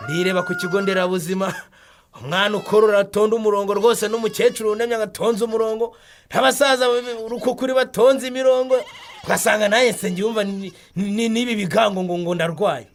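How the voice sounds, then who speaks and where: A man's voice: high voice, steady tone, quick pace. A man with a Rwandan accent acting a stubborn, proud, burly character in a radio drama, boastful and blustering, with grunts and repeated muttering. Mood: boastful, scoffing.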